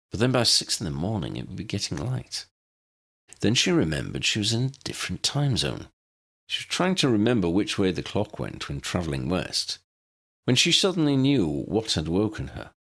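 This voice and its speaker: A man's voice: deep voice, gravelly, a bit bright. A man reading scripted story narration as an audiobook narrator.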